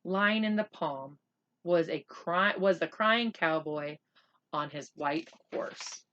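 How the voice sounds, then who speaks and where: A woman reading a children's book aloud as an expressive narrator. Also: lowish voice, slow pace, lo-fi sound.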